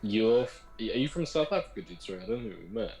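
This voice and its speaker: A man's voice: mid-range voice, expressive, quick pace, a little mellow, faint music behind. A man in casual chat on a video call, curious and teasing as he asks other traders questions.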